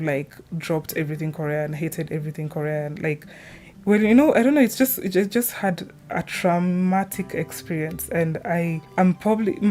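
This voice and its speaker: A woman talking casually in a rambling, giggly commentary, often halting and cutting herself off mid-thought. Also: low voice, darkish tone. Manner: chatty, halting, self-interrupting, giggly. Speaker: video creator.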